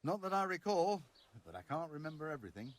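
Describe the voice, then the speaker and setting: A man's voice: on the high side, big pitch swings, fast. A man acting a proud, earthy village blacksmith in a medieval video game, blunt and folksy in his speech. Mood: indignant, dismissive. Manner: blunt, sweary, folksy turns of phrase.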